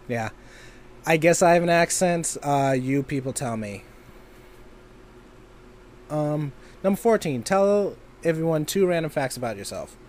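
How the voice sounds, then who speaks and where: A man's voice: mid-range voice, a little mellow, slight background noise. A young man from Minnesota, an amateur voice actor, chatting casually in a vlog with lots of ums and loose, self-deprecating asides. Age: young adult. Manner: rambling, filler-heavy, self-deprecating.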